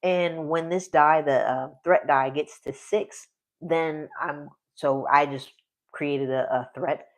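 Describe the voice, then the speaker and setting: A man's voice: on the high side, steady tone, takes it slowly. Chatty guy in his 40s running a solo tabletop game on stream, rambles, trails off a lot, laughs a bunch. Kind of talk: casual live-stream narration of solo play.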